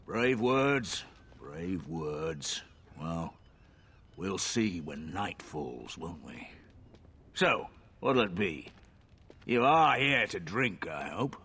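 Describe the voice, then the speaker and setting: Casting: rough, husky innkeeper for a fantasy game, brusque and cynical, very animated delivery. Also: male voice, low voice, very slow, exaggerated voice. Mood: sardonic. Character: gruff, cynical, self-serving tavern keeper. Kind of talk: fantasy video game voice acting.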